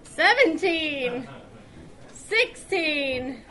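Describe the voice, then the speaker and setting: A woman's voice: sits high, very animated, extremely slow. A woman chatting casually and calling out numbers at a club luncheon. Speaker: helper calling out prize numbers at a club gathering. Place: busy restaurant at a club luncheon. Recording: loud restaurant crowd, large room.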